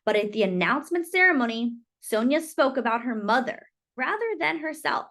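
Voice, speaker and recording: A woman narrates a picture book for children in a fairly high voice. Her intonation is very lively, rising and falling widely. She phrases things deliberately and stretches key words for dramatic emphasis. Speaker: storyteller reading a picture book aloud. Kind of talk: expressive children's read-aloud narration.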